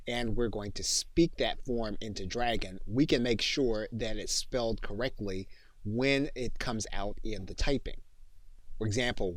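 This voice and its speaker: A man giving a software tutorial as an instructor, explaining methodically step by step. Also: fairly low pitch, no echo.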